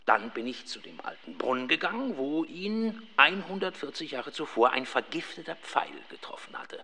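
A man playing a pompous, self-satisfied professor of ghost research in a children's radio play, husky and halting as he savors his own account. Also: a little high, very animated, sharp tone, a little hiss or hum, full character voice. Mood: matter-of-fact.

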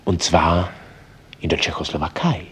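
An actor narrating a German radio drama as a wry, knowing storyteller in a husky, breathy voice. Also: male voice, low-pitched, steady tone, normal speed, a bit bright, slight background noise. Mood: wry, confiding.

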